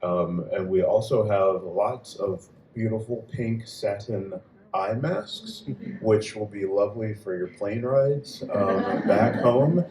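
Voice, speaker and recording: A male host making closing announcements, halting with many fillers. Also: on the low side, background noise. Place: venue hall at a live reading. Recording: echoey hall, mic at a distance.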